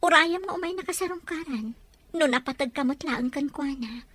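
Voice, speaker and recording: A woman's voice: high-pitched, moderate pace, fairly bright tone, dry recording. A woman playing a worried young mother, tender with her child. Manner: anxious, tender.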